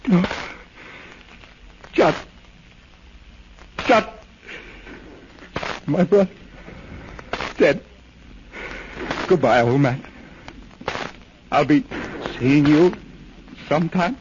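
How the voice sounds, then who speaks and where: An actor playing a grieving, devout war veteran in an earnest, solemn 1930s radio drama style. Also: male voice, a little high, lively intonation, extremely slow, long pauses. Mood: anguished. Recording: noisy, dull 1930s radio recording.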